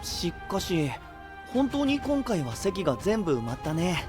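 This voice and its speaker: Anime audio drama: breezy, cheerful boyish knight, high register, exclaiming, music underneath. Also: male voice, sing-song, measured pace. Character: cheery, casual, upbeat young male knight.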